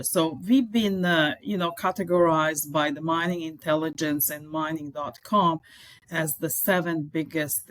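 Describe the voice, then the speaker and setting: A woman's voice: low voice, no echo, low-quality recording. A middle-aged woman from Serbia with a Serbian accent, speaking English as a second language and talking earnestly as a guest in a podcast interview. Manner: earnest, enthusiastic, with non-native phrasing. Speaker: mining executive guest.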